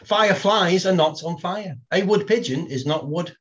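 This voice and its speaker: A man's voice: high voice, moderate pace, muffled recording. Wry man reading playful verse. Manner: wry, self-deprecating, reads verse with playful timing. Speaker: poet, guest. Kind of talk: casual chat and poetry reading.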